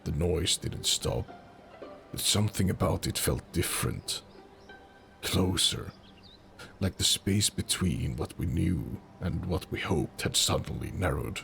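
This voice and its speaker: A man narrating a fantasy audio drama in a slow, deliberate, brooding way. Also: deep voice, animated, vocal fry, a bit bright. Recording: music under the narration. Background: music.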